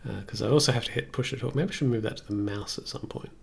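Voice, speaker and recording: A man in his thirties casually thinking aloud as a livestreamer, dry and self-deprecating, with a gravelly, husky voice. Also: medium pitch, quick pace. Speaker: live streamer.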